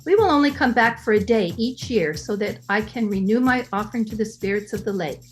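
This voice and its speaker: A woman's voice: medium pitch, animated, brisk, lightly acted. A woman playing an earnest, superstitious wife, theatrical in the manner of 1930s radio melodrama. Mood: earnest, solemn.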